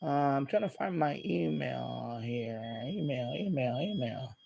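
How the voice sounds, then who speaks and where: A man's voice: mid-range voice, animated, unhurried, creaky, warm tone, a little hiss or hum. A middle-aged man chatting casually and unscripted as a live stream host, rambling and repeating himself as he explains.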